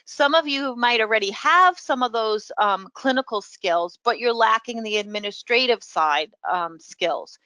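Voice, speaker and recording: A woman's voice: a little high, expressive, unhurried, few pauses, bright, forceful tone, dry recording. A middle-aged woman with a New York accent, a professor explaining a degree program in a webinar, speaking with frequent ums. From New York City. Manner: explanatory, filler-heavy. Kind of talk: webinar presentation.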